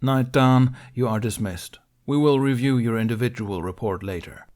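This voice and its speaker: A man's voice: mid-range voice, moderate pace, a little mellow, natural voice. A man voicing a stern, exasperated council master in an audiobook, formally interrogating in a businesslike, accusing way. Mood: cold, bitter. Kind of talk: audiobook character dialogue.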